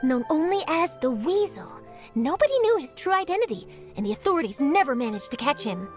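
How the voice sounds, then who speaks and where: A woman voicing an eager young female news reporter who tells stories briskly and dramatically with great self-assurance, over game music. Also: high voice, big pitch swings. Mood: storytelling. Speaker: journalist. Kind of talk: game cutscene dialogue.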